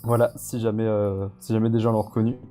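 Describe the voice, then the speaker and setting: Male interview guest, soft dark tone, informal halting delivery, faint music behind. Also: lowish voice, level tone. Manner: hesitant, informal, rambling. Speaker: guest, audio fiction creator. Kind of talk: casual live interview.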